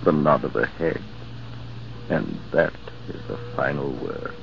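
A hushed, mournful storyteller. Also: male voice, extremely low pitch, very animated, unhurried, creaky, slight background noise, full character voice. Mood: somber. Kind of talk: 1940s radio acting. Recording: dull old radio recording with music.

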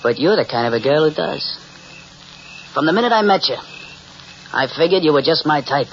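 A man's voice: a little high, animated, a little fast. A man playing a flirty, boastful middle-aged married man in 1940s radio drama, slangy and forward. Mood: amorous. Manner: slangy, smooth-talking, forward. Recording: muffled, noisy old radio recording.

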